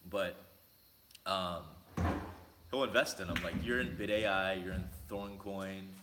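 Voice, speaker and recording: A man's voice: deep voice, steady tone, unhurried, reverberant, background noise. A man hosting a casual crypto livestream, loose and joking, greeting chat viewers by name while talking into a laptop mic. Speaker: livestream host. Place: indoor room, laptop mic. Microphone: laptop mic, no headphones.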